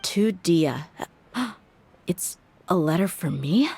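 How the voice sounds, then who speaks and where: A woman's voice: lowish voice, lively intonation, takes it slowly, creaky, darkish tone, breathy edge, exaggerated voice. A woman voicing a tough, blunt mercenary in a game cutscene, wry and exasperated in her talk.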